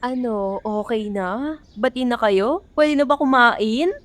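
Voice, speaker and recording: A woman's voice: fairly high pitch, slow pace, over music. A Filipino woman playing a cheeky, impatient younger sibling in a radio drama, teasing and complaining.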